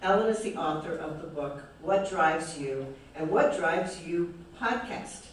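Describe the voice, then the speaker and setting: A man's voice: a little high, expressive, measured pace. A man with an American Midwest accent hosts an event and reads a formal, enthusiastic introduction of a guest author. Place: large event hall. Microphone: distant room mic. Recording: very echoey hall, from far off, faint noise.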